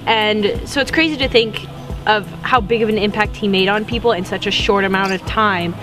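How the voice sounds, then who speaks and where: A woman's voice: medium pitch, steady tone, moderate pace. Sincere teenage girl with music. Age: teens. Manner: sincere, earnest. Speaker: student. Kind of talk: interview.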